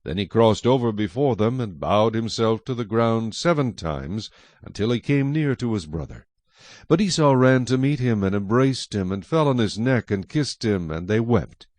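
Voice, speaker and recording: A man narrating scripted scripture in a solemn, measured storytelling manner. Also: lowish voice, animated, darkish tone, dry recording.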